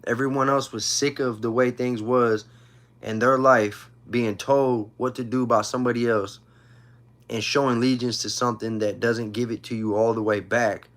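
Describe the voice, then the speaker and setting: A man's voice: medium pitch, fairly even intonation, moderate pace, fairly bright tone. A man in his thirties hosting a casual livestream, telling personal stories in informal street slang and repeating himself for emphasis. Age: 30s. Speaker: livestream host.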